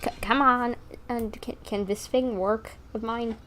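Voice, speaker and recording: A woman's voice: a little high, steady tone, unhurried, a bit bright, slight background noise. A woman livestreamer chatting informally with her viewers, rambling and easily sidetracked. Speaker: live streamer. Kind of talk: casual livestream chat with viewers.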